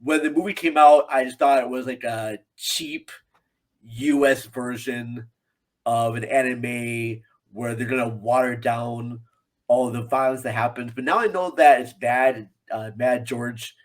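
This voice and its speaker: A man's voice: medium pitch, unhurried, rough recording. A man chatting casually about films as a podcast guest, rambling and enthusiastic. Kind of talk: casual film discussion.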